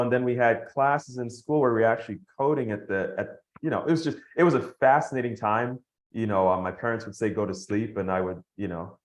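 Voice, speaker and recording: A man from Nigeria and the Bahamas, a podcast guest telling his story in an animated way, laughing as he goes. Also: on the low side, echoey room. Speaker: guest, company founder. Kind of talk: podcast interview.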